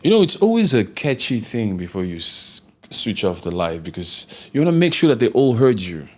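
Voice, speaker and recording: A man from Congo hosts a loose live-stream conversation with a fairly low voice. He talks bluntly, cracks jokes and swears offhand, sounding like a regular host chatting with viewers. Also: sing-song, normal speed, darkish tone. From Democratic Republic of Congo. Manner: blunt, joking, swears casually. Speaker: podcast host. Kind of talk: casual live-stream chat.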